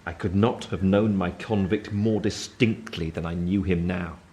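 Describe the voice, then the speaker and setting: An actor playing a solemn gentleman narrating his past in a radio play, measured and grave. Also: sits low, fairly even intonation. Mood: brooding, tense.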